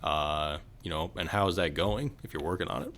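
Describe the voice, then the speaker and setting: A man's voice: very deep voice, a little fast, fairly bright tone. A man hosting a podcast, speaking in a casual, conversational way to a guest and listeners. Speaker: podcast host.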